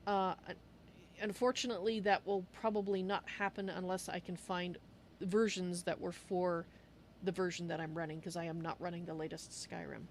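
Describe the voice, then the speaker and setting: A woman's voice: on the low side, level tone, moderate pace, a little hiss or hum. A woman livestreaming a roleplay game, chatting casually to her viewers. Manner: speaks of her character in the third person.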